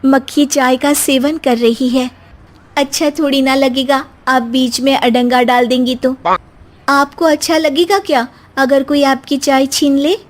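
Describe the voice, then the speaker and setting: A woman playing a naive, soft-hearted young daughter-in-law in a comic story, earnest, polite and pleading. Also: sits high, steady tone, a little slow. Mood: innocent, earnest. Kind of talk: acted Hindi comic story dialogue.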